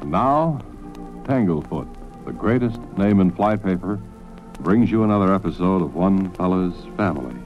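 A man playing a pompous, touchy radio announcer in a deadpan soap parody, on a muffled old recording with music. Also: deep voice, normal speed.

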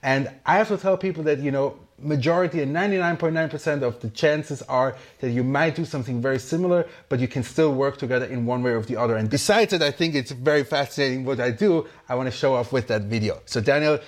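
Enthusiastic male interview host. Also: mid-range voice. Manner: enthusiastic and somewhat halting, with restarts. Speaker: podcast host. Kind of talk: hosting an interview show.

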